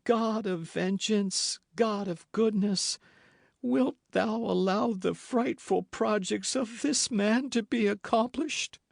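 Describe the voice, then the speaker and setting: A man performs a scheming noblewoman who feigns pious meekness, pleading through sobs. The voice rides high and swoops widely, with a close, dry sound. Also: expressive, measured pace, dry recording. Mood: tearful pleading. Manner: pleading, sobbing, breathless. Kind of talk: audiobook character voice.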